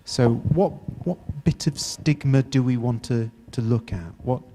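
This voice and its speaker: A man's voice: mid-range voice, background noise. British man leading a workshop. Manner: encouraging, halting with many restarts. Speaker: workshop facilitator. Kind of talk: interactive workshop teaching. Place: university hall workshop.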